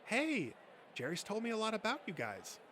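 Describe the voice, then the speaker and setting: A man's voice: high voice, wildly swooping pitch, moderate pace, pushed and bright, pitched above the performer's own voice, exaggerated voice. A man voicing a young woman, a nerd's friendly but easily indignant girlfriend, in a casual comic dub with some recording noise. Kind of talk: comic dub character acting.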